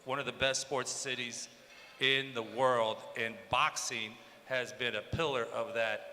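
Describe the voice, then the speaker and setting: A man's voice: medium pitch, fairly even intonation, unhurried, sharp tone. A man from Southern California, a commission chairman, giving an upbeat civic speech at a press conference. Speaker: state athletic commission chairman. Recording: reverberant hall with faint background noise.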